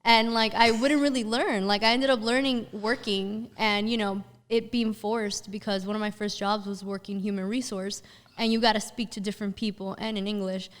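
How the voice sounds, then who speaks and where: A woman from Miami with a Miami accent, Spanish her first language, chatting relaxed as a podcast guest and laughing easily. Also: medium pitch, normal speed, big-room sound. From Miami, Florida. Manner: relaxed, laughs easily, fillers like "you know". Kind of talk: casual interview chat.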